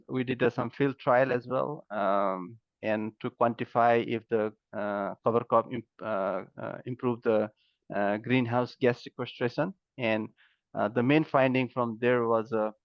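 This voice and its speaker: A man in his thirties from Nepal, explaining his research as a guest in a podcast interview, halting and full of fillers. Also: medium pitch, gravelly, no room sound. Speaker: guest, agronomy professor. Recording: dry, dull lo-fi sound.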